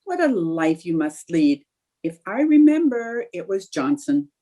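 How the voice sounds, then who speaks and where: A woman playing an eager, scheming, chatty young housewife in an old-time radio comedy, with a husky voice. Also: fairly low pitch, very animated, unhurried, dark tone. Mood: teasing, curious. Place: indoors, remote video call.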